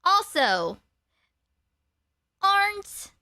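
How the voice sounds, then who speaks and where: A woman's voice: very high-pitched, very animated, extremely slow, pushed and bright, no room sound, clean studio sound. A woman hosting a live stream, chatting casually and meandering, with some casual swearing. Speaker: streamer host.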